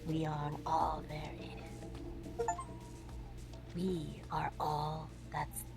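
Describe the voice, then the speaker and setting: A woman voicing a sinister, seductive fungal monster with a rasping, whispery, menacing delivery. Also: deep voice, level tone, music in the background, exaggerated voice. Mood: sinister coaxing. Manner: slow, menacing.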